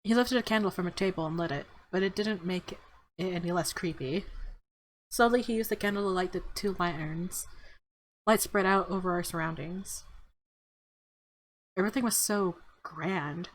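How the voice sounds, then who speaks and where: A woman's voice: lowish voice, moderate pace, pauses often, poor audio. A woman reading a visual novel aloud, switching between story narration and casual, playful commentary. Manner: expressive, playful asides. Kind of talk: dramatic narration mixed with casual playthrough commentary. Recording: game music behind the voice.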